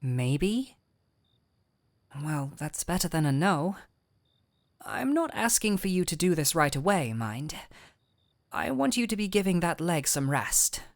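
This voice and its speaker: Audio roleplay actress as kindly woman physician: deep for a woman, close dry recording, soothing and tender. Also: very animated, dry recording. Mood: coaxing, tender. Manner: gentle, unhurried, soothing. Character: gentle, caring fantasy physician woman, patient and softly teasing. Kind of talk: intimate scripted audio roleplay.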